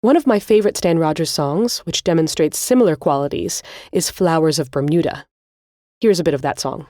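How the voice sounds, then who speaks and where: A woman with a low voice presents a scripted music-history podcast. She explains things in an articulate, orderly way, and the recording is very dry with little room sound. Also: a little fast, warm tone, no echo. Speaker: podcast host.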